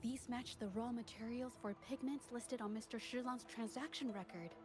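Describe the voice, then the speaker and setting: A woman's voice: a little high, steady tone, normal speed, lightly acted. A woman voicing an earnest, observant young investigator in a video game, explaining things carefully. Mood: thoughtful, earnest. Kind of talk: scripted video game voice acting. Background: game music.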